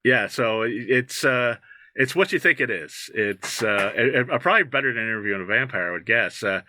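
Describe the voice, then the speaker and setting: A man hosting a talk show, asking teasing questions in halting casual chat. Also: medium pitch, expressive, bright, forceful tone, slight background noise.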